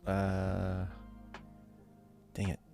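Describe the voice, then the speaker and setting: A man's voice: low voice, monotone, drawn-out words, creaky, mellow, soft background music. A man on a podcast quiz, casually thinking aloud in a hesitant, musing way.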